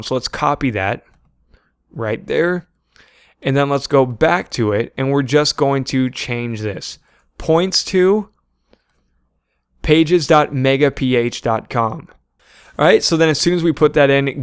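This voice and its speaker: A man's voice: medium pitch, clear, resonant tone, dry recording, clean studio sound. A man narrating a screen-share tutorial, talking informally and guiding viewers step by step as the presenter. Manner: informal step-by-step instructing, often addressing viewers as "you guys".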